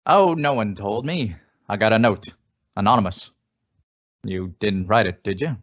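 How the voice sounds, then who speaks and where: A folksy former police chief from an old radio thriller, acted by a man speaks in a fairly low voice. He coaxes and insinuates, always persuading. The audio is slightly dull and lo-fi. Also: measured pace. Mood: folksy, knowing. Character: folksy, coaxing male former small-town police chief. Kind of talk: old-time radio suspense acting.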